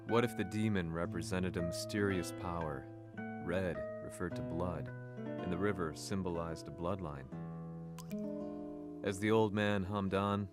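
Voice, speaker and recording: An actor playing a grave investigator, narrating his story in a sombre, matter-of-fact way for a video game. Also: sits low. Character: grave, brooding male investigator recounting his search. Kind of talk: scripted cutscene narration.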